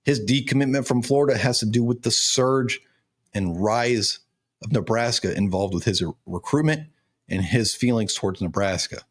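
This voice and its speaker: A man's voice: mid-range voice, moderate pace, slightly rough. Male sports analyst, 30s, emphatic commentary delivery, voice strained and cracking from losing it. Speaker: sports show host and recruiting analyst. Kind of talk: college football recruiting commentary.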